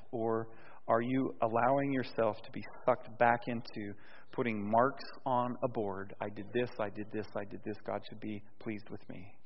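A man preaching a sermon in a conversational way, dropping soft and low and then rising loud and emphatic. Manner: conversational, with restarts and fillers. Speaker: pastor. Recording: dull sound with long hall reverb.

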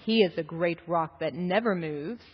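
A woman's voice: lowish voice, normal speed, slightly rough, pitched below the performer's own voice. A woman voicing quarrelling animals and nature spirits in a storybook, each one emphatic and insistent. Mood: quietly assertive. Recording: muffled, echoey large hall.

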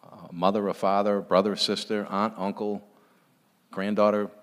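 Low-voiced man giving church testimony. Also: flat delivery, moderate pace. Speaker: testimony speaker for a recovery program at a church.

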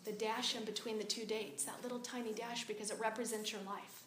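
Woman speaker, raspy, slightly high, lively storyteller who swings from loud to hushed. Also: brisk, very noisy. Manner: animated, confiding, rises and drops a lot. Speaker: speaker at a women's event. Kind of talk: personal storytelling talk.

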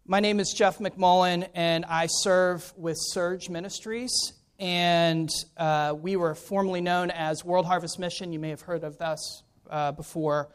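A middle-aged American man speaks as a visiting preacher. His voice sits high for a man and rings clean and smooth. He talks in an informal, conversational way with a self-deprecating streak. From Maryland, United States. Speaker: guest preacher, pastor. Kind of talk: informal spoken introduction to a sermon.

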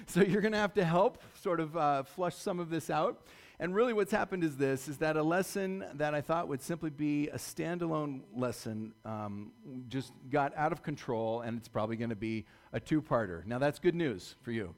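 Slightly scratchy, higher-pitched male preacher. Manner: informal and discursive, often checking in with "right?". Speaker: church preacher. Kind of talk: conversational sermon to a congregation.

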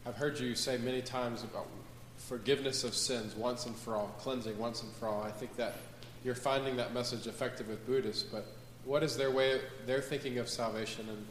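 A man's voice: medium pitch, steady tone, brisk, hardly any pauses, reverberant, long reverb tail, a little hiss or hum, poor audio. A man interviewer asking a long, thoughtful question.

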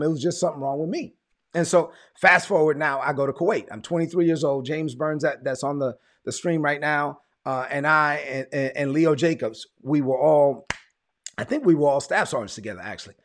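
A middle-aged American man giving an informal, conversational sermon of personal testimony, telling it like an animated story and repeating phrases for emphasis. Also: a little high, fairly quick. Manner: animated storytelling, acts out quoted dialogue, conversational.

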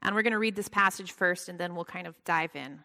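A soft-spoken woman leading a Bible study lesson, with a prayer and a scripture reading, speaking gently and with frequent ums. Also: fairly low pitch, quick pace. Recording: long hall reverb.

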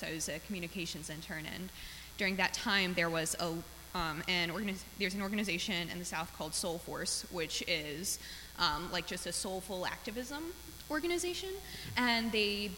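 Woman, twenties, earnest informal testimony, bright edge to the voice, reverberant hall with background hum. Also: fairly low pitch. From small-town Virginia, United States. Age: 20s. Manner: earnest, conversational, frequent 'like'. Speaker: seminary student sharing a testimony. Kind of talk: personal testimony, informal spoken. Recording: echoey hall with clear hum.

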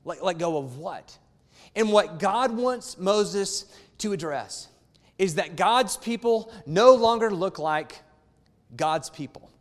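High-voiced male pastor in an echoing church. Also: lively intonation, slow pace. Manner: emphatic, repeats key phrases, conversational asides to congregation. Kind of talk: sermon. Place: church sanctuary.

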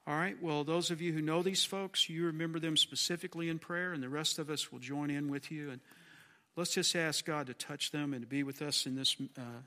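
A man with a slightly hoarse voice leading a church class, praying aloud and then chatting casually with the group. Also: a little high, sharp tone. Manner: earnest, folksy, jokes with the class. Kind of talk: spoken prayer and casual class talk.

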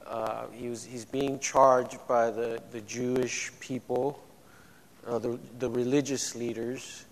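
A low-voiced man delivers a sermon into a microphone, slowly and haltingly, restarting his phrases often. His voice rings with the long reverb of a church hall. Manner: halting, many restarts and fillers. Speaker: preacher. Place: church sanctuary.

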